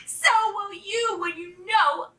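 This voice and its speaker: Woman acting out a mischievous boy puppy, very high, bright, gleeful, boastful, storybook character. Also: takes it slowly, reverberant, distant mic, full character voice. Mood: eager, proud. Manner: gleeful, crying out. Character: excitable, proud, mischievous little male pug puppy.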